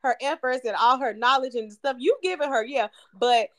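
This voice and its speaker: A woman's voice: sits high, moderate pace. A woman hosting a faith podcast, talking in an effusive, emotional, churchy way. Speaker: podcast host. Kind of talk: casual podcast chat.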